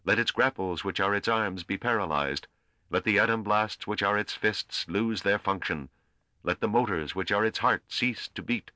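A man's voice: fairly low pitch, bright, forceful tone, no echo, pitched above the performer's own voice. Man acting a stern, declamatory priest. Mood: solemn cursing. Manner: declamatory, slow, commanding. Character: stern, righteous male priest laying solemn curses and commands. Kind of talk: dramatic audiobook character voice.

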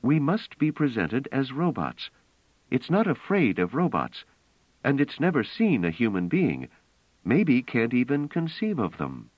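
Audiobook role: gentle, firm young woman, played by a man, lifted well above his natural pitch, emphatic, protective, slightly muffled recording. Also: huge pitch swings, a little slow, dry recording. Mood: firm, urgent. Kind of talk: audiobook character voice.

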